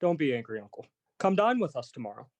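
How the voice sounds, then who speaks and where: An actor playing a good-natured young nephew in a radio play, speaking earnestly and kindly. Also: male voice, on the high side, big pitch swings, fast. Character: cheerful, warm-hearted young nephew.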